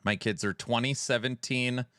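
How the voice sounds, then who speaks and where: A man's voice: medium pitch, fairly even intonation, normal speed, sharp tone, no echo, clean studio sound. Chatty forty-year-old male streamer. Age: 40s. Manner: chatty, reads viewer messages aloud. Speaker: streamer host. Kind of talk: casual live-stream chat.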